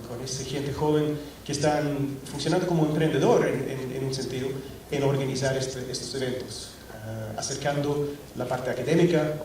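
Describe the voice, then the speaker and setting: A middle-aged man addresses a crowded auditorium, his mid-pitched voice carrying from a distance across a very echoey hall. He stops and restarts often, leaning on fillers as he works through a technical talk. A faint hum of noise sits under him. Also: darkish tone. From Scotland. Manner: hesitant, many fillers and restarts. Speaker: conference speaker. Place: large auditorium. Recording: echoey hall recorded from afar.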